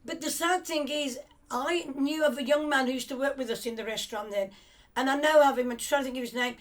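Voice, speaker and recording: A woman's voice: sits high, fast. An older woman of Cypriot family raised in England, telling rambling, reflective stories of family and the island. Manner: rambling, reflective storytelling with restarts. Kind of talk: reminiscing conversation.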